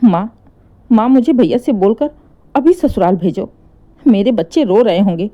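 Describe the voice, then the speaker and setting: A woman's voice: medium pitch, expressive, normal speed, dull audio, natural voice. A woman voicing a distraught young mother pleading anxiously for her children in an emotional story.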